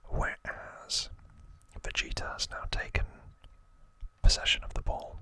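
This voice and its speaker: A man's voice: a little slow, bright, forceful tone. A man whispering breathily in a casual ASMR recap, explaining informally and with some hesitation. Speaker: ASMR video host.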